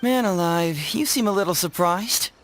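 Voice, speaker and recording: A man playing a witty, smooth-talking young detective in a video game, narrating theatrically with a knowing, gently teasing manner. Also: high voice, lively intonation, normal speed, faint music behind. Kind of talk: scripted video game presenter and dialogue.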